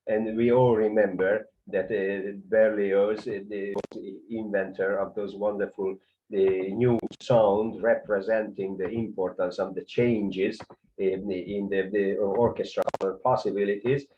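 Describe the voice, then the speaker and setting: A man with a Hungarian accent, a conductor giving a hesitant lecture full of fillers, rising in pitch on key words. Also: level tone, unhurried, muffled recording, a little hiss or hum.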